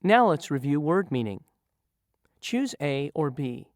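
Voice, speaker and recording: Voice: medium pitch, very animated, unhurried, no echo, studio quality. A narrator asking learners review questions about word meanings in a patient, teacherly way. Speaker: quiz reviewer in a vocabulary lesson. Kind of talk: slow scripted quiz questions for learners.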